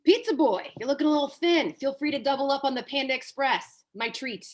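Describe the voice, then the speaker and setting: A woman's voice: sits high, close to an everyday voice. A woman reading the part of a brash, foul-mouthed, washed-up male Hollywood agent at a comedy table read. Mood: awkwardly generous. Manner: blunt, profane, halting when cornered.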